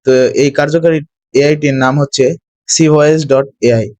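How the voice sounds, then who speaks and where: A man's voice: fairly high pitch, steady tone, normal speed, darkish tone, dry recording. A man narrating a step-by-step screen tutorial as its host.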